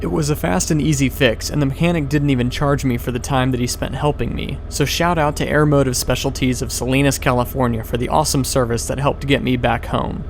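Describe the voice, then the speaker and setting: A man's voice: medium pitch, fairly even intonation, a little fast, vocal fry, hardly any pauses, a little hiss or hum. A man reading a scripted voice-over as a pilot and video host.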